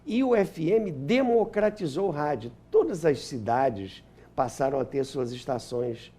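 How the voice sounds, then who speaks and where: An older Brazilian man speaks as an interview guest in an anecdotal, explanatory way. His voice sits somewhat high and is mellow, with animated intonation. He often repeats a phrase to drive it home. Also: a little slow, breathy edge. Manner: explanatory, acts out quotes, repeats phrases for emphasis. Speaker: interview guest, historian and author.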